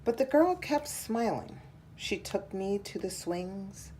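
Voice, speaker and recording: A woman reading a children's picture book aloud in a measured, deliberate storytelling style. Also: medium pitch, expressive, warm tone, slight background noise, low-quality recording.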